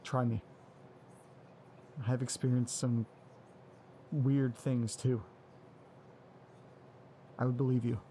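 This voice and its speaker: Man playing a nervous young man, hesitant, apologetic, mellow dark voice, audio drama. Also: mid-range voice, flat delivery, slow, pauses often, a little hiss or hum, natural voice. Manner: hesitant, apologetic, stumbling over words. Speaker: lead character.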